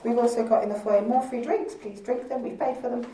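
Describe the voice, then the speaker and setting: A woman with a croaky, worn voice gives a chatty, informal welcome, stopping often with ums. She is heard from some distance in a large, echoey museum hall. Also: a little high, steady tone, a little fast, a crowd in the background. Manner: chatty, laughing, many ums. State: croaky voice from recent illness. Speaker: lab director hosting a launch event. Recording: echoey hall, speaker far from mic.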